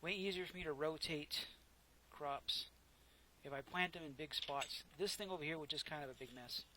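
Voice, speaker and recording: A soft-spoken man casually narrating his own gameplay, rambling and correcting himself as he goes, close to a desk microphone. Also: fairly high pitch, moderate pace, heavy background noise. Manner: rambling, self-correcting, chatty. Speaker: gameplay commentator. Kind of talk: casual let's play commentary.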